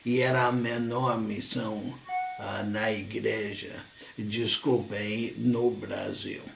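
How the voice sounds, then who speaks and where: An older American man, a native English speaker, telling his own story slowly in a church lesson, pausing often and correcting himself. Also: lowish voice, little pitch movement, vocal fry, roomy sound, faint music behind. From United States. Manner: slow, halting, with self-corrections and frequent coughs. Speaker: church teacher. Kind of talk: slow personal recollection, lesson.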